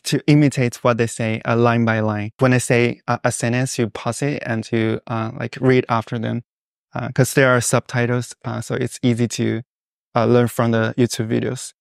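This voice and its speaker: A young man speaks in a smooth, clear voice that stays flat and even in pitch. He answers interview questions thoughtfully, with many small pauses and fillers. The sound is dry and close. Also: no echo. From China. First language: Mandarin Chinese. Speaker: guest.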